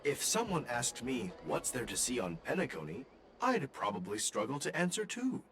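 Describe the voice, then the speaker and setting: An eager, friendly little tourist from a video game. The pitch swoops widely up and down, and music plays clearly behind the voice. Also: wildly swooping pitch, moderate pace. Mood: easygoing.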